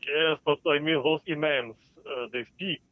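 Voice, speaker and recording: A man with an Albanian accent calling in to a live show, speaking casually in halting, unsure phrases over band-limited call audio. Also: on the high side, steady tone, dry recording, phone-quality sound. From Balkans, Albanian. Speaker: caller.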